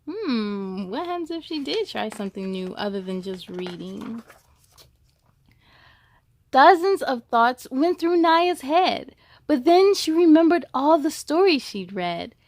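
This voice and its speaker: A woman's voice: high voice, very animated, slow. A woman hosting a children's read-aloud, enthusiastic and speaking straight to young listeners, with storybook reading and chatty asides.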